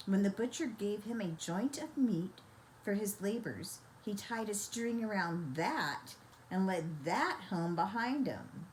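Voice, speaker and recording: An older woman storyteller reading a children's bedtime story in a gentle, expressive, grandmotherly manner. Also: medium pitch, slow pace, a little hiss or hum, poor audio.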